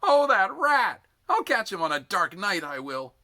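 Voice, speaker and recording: A man acts out a sick young male recruit from a novel, pitched well up from an adult male's normal talk. The voice is strained and whining, dragging out its bitter complaints in a bright, swooping delivery. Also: big pitch swings, heavily acted. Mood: bitter, miserable. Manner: drawn-out aggrieved complaining.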